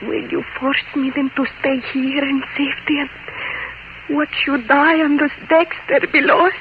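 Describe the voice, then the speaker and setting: A tinny old radio recording with faint noise carries an actress as a passionate wife. Her high voice is theatrical and emotional, imploring and desperate with love. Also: steady tone, normal speed, natural voice. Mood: desperate, pleading. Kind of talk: old-time radio melodrama acting.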